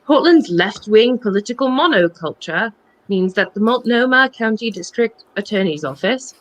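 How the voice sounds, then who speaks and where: A woman's voice: medium pitch, expressive, normal speed, low-quality recording. A woman reading on a charity livestream, moving between dramatic book reading and casual asides in a wry, mocking manner.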